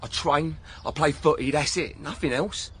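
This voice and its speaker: An actor playing a cocky, defiant young English footballer under police questioning, brash, slangy and argumentative, in a naturalistic radio drama. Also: male voice, a little high, moderate pace, a bit bright. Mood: bitter, defiant. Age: young adult. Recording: clearly noisy background ambience.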